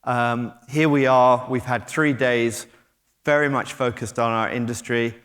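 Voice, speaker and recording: A man's voice: mid-range voice, fairly even intonation, measured pace, a bit bright. British man giving a talk in a reverberant hall. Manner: conversational, hesitant with frequent ums and restarts. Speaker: conference speaker, technology company head. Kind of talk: conference keynote talk. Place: conference hall. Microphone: stage mic, moving about while talking.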